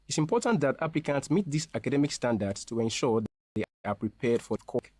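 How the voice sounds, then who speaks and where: A man speaking as a representative in a scripted promotional interview, explaining things in a formal, measured way. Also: mid-range voice, big pitch swings, a little mellow, slightly rough, dry recording, studio quality. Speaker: university representative being interviewed.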